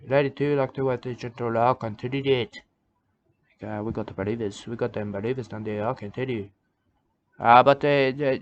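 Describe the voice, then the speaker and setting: A man playing an odd male preacher of a nudist church, who talks in halting broken English and keeps repeating himself. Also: medium pitch, slight background noise, close to an everyday voice. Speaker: self-styled church leader. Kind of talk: rambling comic monologue. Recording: dull lo-fi recording.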